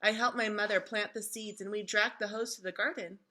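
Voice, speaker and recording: A woman reading a children's storybook aloud in an expressive, instructive way, like a teacher engaging young listeners. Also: mid-range voice, fairly quick, a little hiss or hum.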